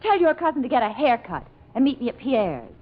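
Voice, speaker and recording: Wry secretary, tinny old radio. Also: female voice, a little high, big pitch swings, fairly quick. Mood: wry, friendly. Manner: wry, scolding, dry-witted. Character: sharp-tongued, sarcastic female secretary. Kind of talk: old-time radio comedy acting. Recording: tinny vintage radio recording, faint noise.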